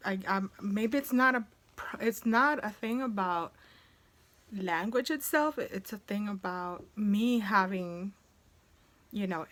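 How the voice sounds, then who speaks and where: A woman's voice: medium pitch, a bit bright. A woman in her forties, a native Spanish speaker, chatting casually in a rambling vlog about her sewing tools, with many restarts along the way. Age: 40s. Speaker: sewing vlogger.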